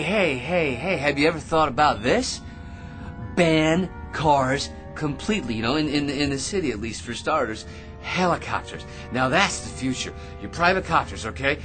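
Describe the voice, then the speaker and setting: An American actor in a radio drama playing a cocky, ordinary man who rambles smugly and stammers with excitement. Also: on the high side, moderate pace, lightly acted. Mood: excited rambling. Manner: rambling, smug, stammering excitedly, pestering with tag questions. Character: cocky, chattering, ordinary man full of crackpot schemes. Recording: radio drama with music underneath.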